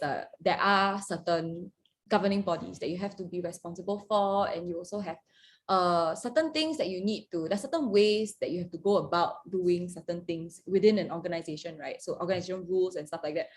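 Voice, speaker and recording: A woman's voice: fairly low pitch, animated, fairly quick, a little hiss or hum. A woman from Singapore with a Singaporean accent, a firefighter chatting casually and laughing in a group video call. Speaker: firefighter and discussion host.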